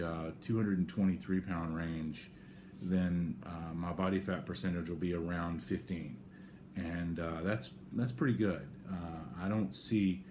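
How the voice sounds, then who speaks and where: An American man talking casually and unscripted to camera in a rambling, halting way, often correcting himself as he gives a personal update. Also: sits low, a little mellow. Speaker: vlogger giving a personal health update. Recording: clear background noise, room ambience.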